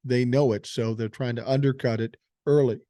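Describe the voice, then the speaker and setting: A man's voice: medium pitch, steady tone, moderate pace, mellow, no room sound, studio quality. An older man hosting a political podcast, giving blunt, profane opinions and reading news stories aloud. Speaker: podcast host.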